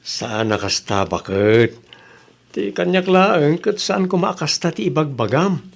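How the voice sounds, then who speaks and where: An Ilocano father played by an actor in a comedy audio drama, with very animated, swinging intonation. He is mild and reasonable, putting in conciliatory remarks and advice. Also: fairly high pitch, a little slow, gravelly, heavily acted. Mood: puzzled.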